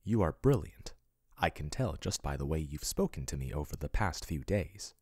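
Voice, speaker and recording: A man playing a breathy, doting yandere AI chatbot. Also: a bass voice, animated, normal speed, no room sound, heavily acted. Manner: soft, intimate, doting, turning possessive. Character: male AI chatbot, soothing then possessive yandere. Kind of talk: ASMR boyfriend roleplay.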